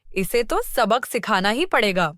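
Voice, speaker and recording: A woman's voice: fairly high pitch, expressive, unhurried, a bit bright, dry recording, studio quality. A woman voicing a spiteful, jealous young sister in a cartoon story, sneering and scornful. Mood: scheming.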